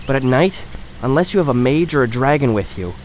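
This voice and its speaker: An actor playing a calm dragon in human form who reassures and reasons with the others smoothly. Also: male voice, mid-range voice, brisk, a little hiss or hum, lightly acted. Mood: reassuring.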